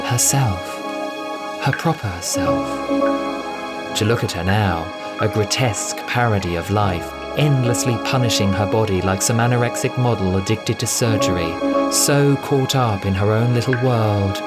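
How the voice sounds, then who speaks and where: A man reading scripted fiction as a dramatic audiobook narrator. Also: fairly low pitch. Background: music.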